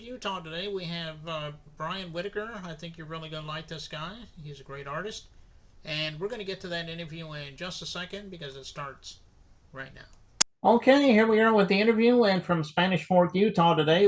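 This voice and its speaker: A man's voice: a little high, a little hiss or hum. A man hosting an interview show, friendly and chatty, talking loosely with fillers. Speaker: show host.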